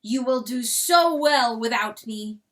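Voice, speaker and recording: A woman's voice: fairly high pitch, slow pace, a bit bright. A woman voicing a noble male rooster lord who speaks in ringing, declamatory tones. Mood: solemn farewell. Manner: ringing, declamatory crowing speech.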